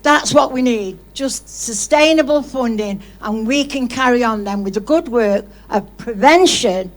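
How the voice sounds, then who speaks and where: A high-voiced woman speaks from the audience at a live public Q&A. She is blunt and colloquial, talking off the cuff and with conviction, like someone who runs a grassroots service. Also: unhurried. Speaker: audience member, charity service leader. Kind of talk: impassioned unscripted testimony at a public Q&A. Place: indoor studio audience event.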